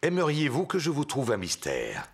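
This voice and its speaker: A man's voice: medium pitch, huge pitch swings, normal speed, vocal fry, very noisy. A man voicing a good-natured, jolly daddy pig who explains things patiently in a French children's cartoon. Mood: patient, jovial. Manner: hearty chuckles, patient explaining.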